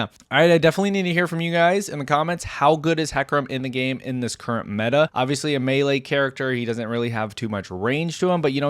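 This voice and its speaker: A man's voice: medium pitch, no room sound. A man giving casual reaction commentary as the host of a gaming video.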